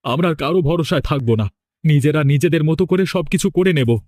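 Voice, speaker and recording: A man's voice: a little high, brisk, a little mellow, dry recording, clean studio sound, lightly acted. A man playing a stern village leader in a horror cartoon, solemn and commanding as he gives orders. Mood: grave, commanding.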